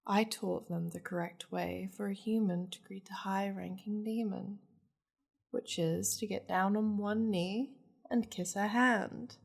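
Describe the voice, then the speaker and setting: Demon noblewoman played by a woman for ASMR roleplay: slow, commanding delivery, possessive and flirtatious, with teasing, haughty endearments. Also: medium pitch, steady tone, creaky. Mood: gracious, haughty. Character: possessive, wealthy, flirtatious high-ranking demon lady.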